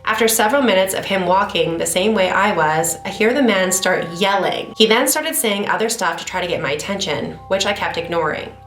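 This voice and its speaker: A woman's voice: mid-range voice, a little fast, few pauses. Woman host, nasally congested from a cold, reads creepy stories over faint music in a roomy space. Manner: chatty and self-deprecating in the intro, steady when reading. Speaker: horror story reader. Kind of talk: reading scary stories aloud.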